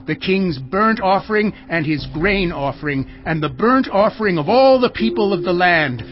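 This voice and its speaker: A man playing an ancient king who gives orders to a priest in an imperious, exacting way, in a dramatized scripture reading. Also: sits high. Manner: imperious, issuing precise commands. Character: commanding ancient king giving orders, middle-aged man. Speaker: King Ahaz. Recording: dull lo-fi sound with music under it.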